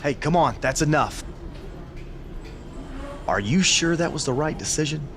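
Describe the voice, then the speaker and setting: An actor playing a laid-back, guarded but helpful wrestler ally, talking casually in a video game. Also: male voice, a little high, animated, measured pace, slight background noise. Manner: casual, conversational. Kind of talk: scripted video game dialogue.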